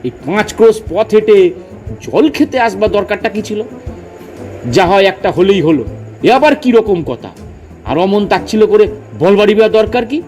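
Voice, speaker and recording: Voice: medium pitch, animated, fairly quick, pauses often, a little mellow, faint music behind, lightly acted. A performer in a comic audio drama playing cantankerous, boastful village men who quibble pedantically and argue emphatically. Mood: offended. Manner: argumentative, emphatic, rambling lists.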